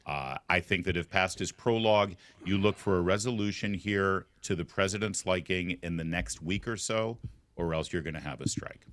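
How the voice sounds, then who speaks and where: A man co-hosting a political talk show, talking in an animated way with quick restarts and repeated words. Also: deep voice, dry recording. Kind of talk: casual political chat.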